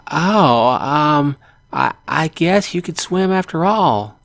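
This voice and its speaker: A man's voice: fairly high pitch, animated, takes it slowly, fairly bright tone, no echo, voice pushed up, exaggerated voice. A man reading a visual novel aloud, voicing a frantic young girl in a raised, strained calling voice. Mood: flustered. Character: frantic young girl, bratty but caring.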